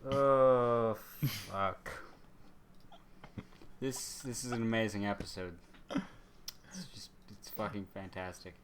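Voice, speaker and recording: A man's voice: on the low side, slow pace, long pauses, slight background noise. A young man co-hosting a casual podcast, rambling, profane and easily exasperated. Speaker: podcast co-host. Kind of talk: casual chat.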